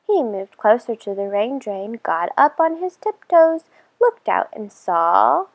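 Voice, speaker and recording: A woman reading a children's story aloud in an expressive storytelling style. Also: fairly high pitch, slow, creaky.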